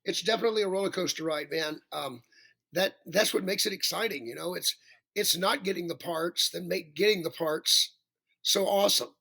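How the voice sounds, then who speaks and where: A male singer with a raspy voice, chatting as a podcast guest, rambling and self-deprecating with many restarts. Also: sits high, moderate pace, bright, forceful tone. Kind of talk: casual interview chat.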